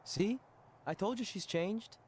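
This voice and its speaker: A man's voice: sits high, unhurried, darkish tone, slight background noise. A man voicing an anxious, goofy young wrestling champion in a video game cutscene, self-deprecating and earnest. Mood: firm.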